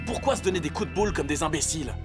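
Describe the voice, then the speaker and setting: A man in a French cartoon dub playing an excitable, cocky young soccer player, slangy, emphatic and insistent. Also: a little high, rapid-fire, over music, close to an everyday voice. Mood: confiding, sure.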